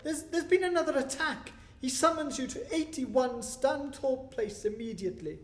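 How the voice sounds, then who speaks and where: A woman acts a young messenger boy in a radio play. The voice is high, urgent and respectful. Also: moderate pace, lightly acted. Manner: urgent, deferential.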